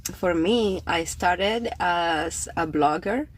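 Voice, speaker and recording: Cuban woman chatting on a livestream. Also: lowish voice. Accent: Cuban Spanish. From Cuba. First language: Spanish. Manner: chatty and meandering, with fillers and drawn-out words. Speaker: podcast host and poet. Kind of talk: casual livestream chat greeting viewers.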